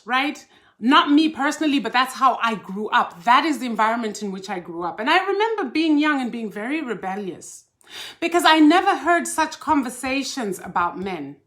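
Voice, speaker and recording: A woman's voice: high-pitched, sharp tone. A woman from Zimbabwe, a life coach hosting a casual live stream and chatting animatedly with her viewers, laughing easily. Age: older.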